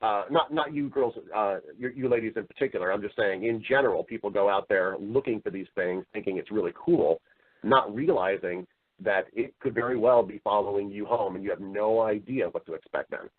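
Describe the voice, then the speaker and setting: A man's voice: on the low side, a little fast, narrow, tinny audio. A man speaking as an interview guest, explaining his views in an earnest, emphatic, conversational way. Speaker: voice-over artist and storyteller.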